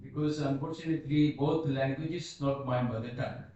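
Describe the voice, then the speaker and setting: A man whose first language is Bhoti gives an unscripted seminar talk, halting and searching for words as he speaks slowly and earnestly. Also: mid-range voice, steady tone, dark tone. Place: seminar hall. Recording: echoey hall, distant dull mic.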